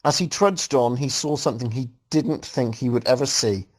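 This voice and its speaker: A man's voice: mid-range voice, moderate pace. A man reading a story aloud to a group as host, reacting casually and often amused as he goes. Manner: amused, breaking into laughter while reading.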